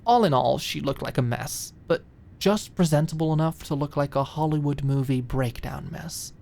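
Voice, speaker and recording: A woman's voice: deep voice, moderate pace, gravelly, mellow. A woman reading scripted, dramatic story narration as an audiobook narrator.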